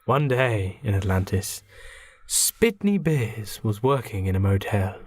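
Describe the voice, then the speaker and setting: A man's voice: fairly low pitch, animated, unhurried, darkish tone, breathy edge. A man narrating a comic radio play as podcast host, in a mock-dramatic storytelling style.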